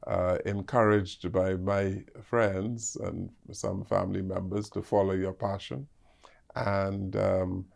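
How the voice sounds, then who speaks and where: An older Bahamian man speaks slowly, with a gravelly edge and lowish pitch. He answers interview questions in a hesitant way, full of ums and uhs. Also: little pitch movement. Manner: hesitant, many fillers. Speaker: ambassador guest.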